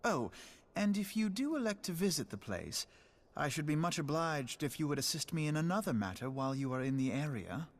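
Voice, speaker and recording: A courteous commander in a fantasy game. His voice sits a little high for a man, and his speech is formal and measured. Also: sing-song. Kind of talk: scripted fantasy game dialogue.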